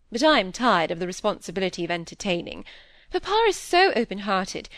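A woman's voice: a little high, no echo, pitched above the performer's own voice. A woman voicing a frank, teasing, world-weary young noblewoman in a period novel, speaking in a breezy, imperious and emphatic way. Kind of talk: audiobook character voice in a period novel.

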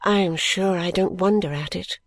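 A woman voicing a trusting, loving young woman in an audiobook, with a gentle, confiding and eager manner. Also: lowish voice, moderate pace, no echo. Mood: sympathetic. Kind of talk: audiobook character dialogue.

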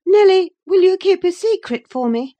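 A high, swooping young lady's voice acted by a woman narrator, headstrong and petulant. She is impulsive and insistent, full of exclamations, as in a dramatised audiobook of a classic novel. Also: expressive, normal speed, warm tone. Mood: anxious, pleading.

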